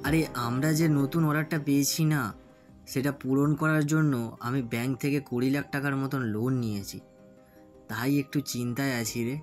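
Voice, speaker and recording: A man's voice: mid-range voice, steady tone, a little fast, close to an everyday voice. Guy doing an honest hardworking businessman friend in a cartoon, earnest, music in the back. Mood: worried. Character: earnest, hardworking male business partner, wronged by his friend. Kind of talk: cartoon story dialogue. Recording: music under the voice.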